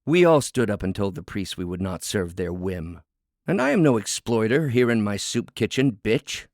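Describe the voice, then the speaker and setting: A man's voice: fairly low pitch, big pitch swings, normal speed, no echo, studio quality. A man voicing a proud, defiant working woman, a cook in a storybook fable, speechifying with indignant emphasis. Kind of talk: dramatic storybook fable reading.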